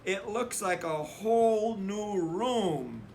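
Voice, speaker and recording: Man doing a high, plaintive little girl bear. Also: takes it slowly, a little mellow, slight background noise. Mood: eager. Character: young girl bear cub, attached to her things.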